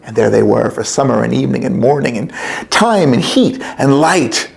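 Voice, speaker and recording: A man's voice: medium pitch, expressive, normal speed, vocal fry. A man reading a story aloud to children in a theatrical, playful, emphatic way, inviting them to join in.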